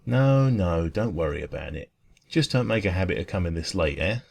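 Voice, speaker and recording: A man playing a friendly, caring dragon who runs a cosy café, talking gently and reassuringly in an ASMR roleplay. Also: sits low, expressive, moderate pace, vocal fry, exaggerated voice. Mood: friendly, relaxed.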